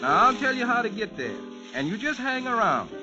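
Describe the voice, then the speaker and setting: Kindly folksy helper, high voice, swooping intonation, hesitant and reassuring, old radio drama, dull recording. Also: male voice, sing-song, brisk. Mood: kindly, confiding. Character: kindly, folksy helpful man advising a searching woman. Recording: muffled vintage radio recording, music behind.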